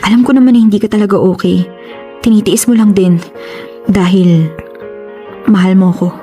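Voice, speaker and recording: A woman's voice: medium pitch, steady tone, normal speed, dark tone, soft background music, close to an everyday voice. An actress playing an earnest, conflicted young woman in love in a radio drama, with a Filipino accent and a hesitant manner. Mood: apologetic, earnest. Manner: hesitant, explanatory.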